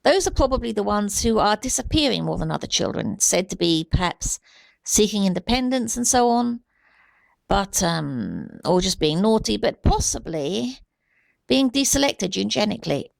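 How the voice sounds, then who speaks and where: A woman giving an unscripted opinion monologue in a halting, deliberate, earnest way. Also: medium pitch, big pitch swings, gravelly, no room sound. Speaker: amateur video commentator.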